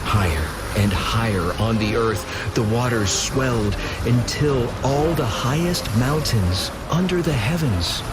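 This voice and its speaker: A man's voice: mid-range voice, lively intonation, a little slow, hardly any pauses, very noisy. A man giving dramatic, solemn scripted scripture narration for an audio drama. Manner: solemn, portentous.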